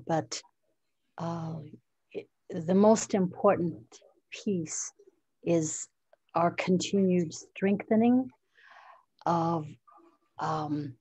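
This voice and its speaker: An older woman from the United States speaking slowly and haltingly, introducing herself on a video call. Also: low voice, dark tone, slightly rough, dry recording, low-quality recording. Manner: slow, halting, reflective. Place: indoor room.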